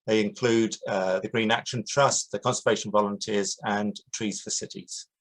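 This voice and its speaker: Male project director, measured talk, low-ish voice. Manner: steady, measured, with hesitations. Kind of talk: prepared online talk. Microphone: video call.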